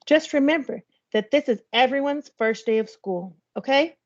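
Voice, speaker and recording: Caring older sister in a storybook read by a woman: reassuring, higher voice, dry lo-fi recording. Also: moderate pace.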